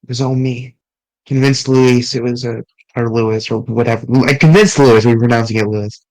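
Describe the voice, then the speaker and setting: A man streaming, reading visual novel dialogue aloud in an earnest, halting way with small restarts. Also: mid-range voice, steady tone, no echo, low-quality recording.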